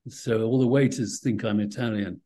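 A man's voice: fairly low pitch, fairly even intonation, moderate pace, warm tone. A man hosting a conversational interview, chatty and enthusiastic in his manner. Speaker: interview host.

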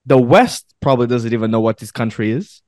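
A man hosting a podcast in casual chat, animated and laughing, often repeating phrases for emphasis. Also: mid-range voice, fairly quick, dry recording, studio quality. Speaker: podcast host.

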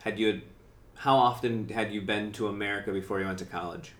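A man hosting a podcast, asking interview questions in a halting way and often restarting them. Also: lowish voice, fairly even intonation, fairly bright tone. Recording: echoey room.

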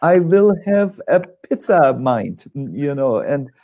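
A man with a German accent speaks as a spiritual teacher, high and very lively in pitch. His delivery is slow, with many hesitant fillers, and his tone is mellow and warm. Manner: hesitant fillers, chuckling, vivid examples. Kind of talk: answering in a spiritual discussion.

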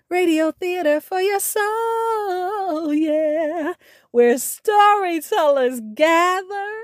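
A woman podcast host reading a scripted sign-off in a deliberate, drawn-out, expressive way. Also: high voice, no room sound, clean studio sound. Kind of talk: scripted sign-off narration with spoken poetry. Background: music.

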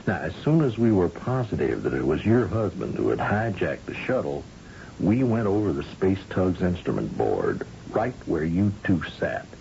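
An actor playing a commanding, folksy governor of a space colony who questions shrewdly, in an old-time radio drama. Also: male voice, sits low, very animated, moderate pace, vocal fry, dark tone, exaggerated voice. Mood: pressing, shrewd. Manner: folksy, probing, patronizing. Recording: dull, noisy old radio recording.

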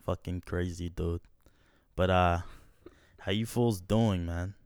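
A man's voice: low-pitched, steady tone, slow, darkish tone, clean tone, no room sound, studio quality. A young man hosting a casual podcast at home, slangy and laid-back, swearing often. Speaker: podcast host. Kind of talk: casual profane podcast chat.

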